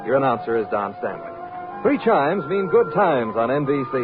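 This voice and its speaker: A man's voice: medium pitch, big pitch swings, moderate pace. A man announcing in a 1950s network radio style, on an old broadcast recording. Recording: tinny old radio broadcast, music behind. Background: music.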